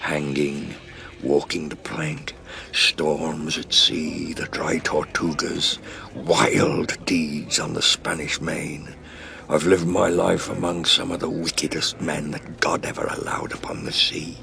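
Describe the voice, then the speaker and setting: An eccentric old drunken pirate in a dramatised adventure. His voice is rock-bottom low and slow, thick with gravel. He speaks with ominous, theatrical weight. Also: bright, forceful tone, slight background noise, exaggerated voice. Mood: grim, haunted. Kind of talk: dramatised audio adventure acting.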